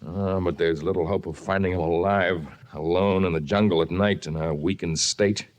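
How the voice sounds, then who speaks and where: An actor playing a grave, brave jungle lord with formal, eloquent phrasing in an old-time radio adventure. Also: male voice, low-pitched, fairly even intonation, moderate pace, lightly acted. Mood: urgent, concerned. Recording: noisy vintage radio recording.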